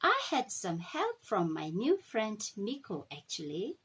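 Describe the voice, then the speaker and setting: A woman reading a storybook aloud, playing a kind, gentle and earnest young heroine. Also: medium pitch, wildly swooping pitch, a little slow, a little hiss or hum. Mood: modest.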